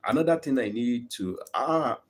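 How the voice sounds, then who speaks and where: A man's voice: medium pitch, lively intonation, moderate pace. A Nigerian man with a Yoruba accent, hosting his own channel and arguing a point earnestly to viewers, often repeating words as he builds it. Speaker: YouTube channel host. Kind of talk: live-streamed opinion talk to viewers.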